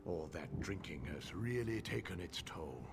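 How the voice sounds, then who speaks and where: A man voicing a gloomy, gravelly tavern regular in a fantasy video game. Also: low-pitched, expressive, a little slow, very noisy, exaggerated voice. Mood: pitying. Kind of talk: video game character acting.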